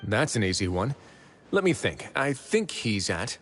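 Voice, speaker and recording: Wry prison duke, low-pitched, dry, confident, unhurried, video game, background music. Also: male voice, big pitch swings. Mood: obliging. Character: wry, self-assured duke who runs a prison fortress. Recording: clear music behind the voice.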